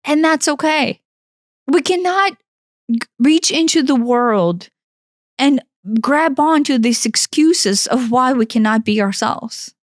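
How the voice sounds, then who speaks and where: Woman, 40s, Ukrainian accent, podcast co-host; high voice, dry close sound, blunt and emphatic. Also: expressive, slow pace, no room sound. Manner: emphatic, blunt, swears freely. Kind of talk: impassioned self-help talk.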